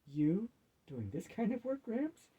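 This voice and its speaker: Man playing an earnest husky grandson. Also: high voice, very animated, takes it slowly, highs cut off, pitched above the performer's own voice, full character voice. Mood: wondering. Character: earnest, unsure undead grandson, affectionate and respectful.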